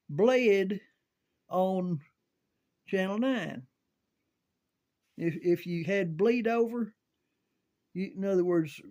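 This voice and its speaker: Older american guy rambling about old memories, real slow n halting, kinda high voice, folksy. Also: a little mellow. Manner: halting, folksy, repeats words. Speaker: storyteller sharing recollections of CB radio and volunteer rescue. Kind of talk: casual rambling reminiscence.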